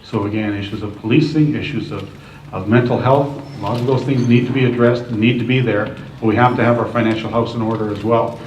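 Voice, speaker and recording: A man's voice: fairly low pitch, fairly even intonation, a little fast, darkish tone, a little hiss or hum. A man answering as a candidate at a public forum, earnest and repetitive, talking in lists. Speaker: political candidate. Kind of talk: debate answer. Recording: distant, dull echoey hall recording.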